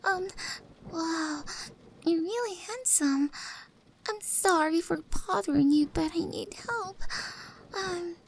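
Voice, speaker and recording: Woman doing a timid stray cat girl, high voice, slow hesitant whispers, soft and mellow. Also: slight background noise, heavily acted, low-quality recording. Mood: shy, grateful. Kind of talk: ASMR roleplay.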